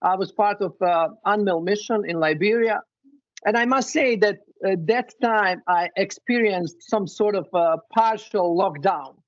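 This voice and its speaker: A man's voice: sits high, measured pace, dry recording, highs cut off. A man from Bosnia and Herzegovina, a former coach, talking earnestly on a webinar panel with many restarts.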